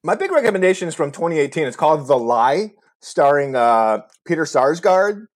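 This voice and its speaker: A man's voice: on the high side, big pitch swings, normal speed. Rambling, profane man recommending movies. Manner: rambling, profane, restarts often. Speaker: podcast co-host.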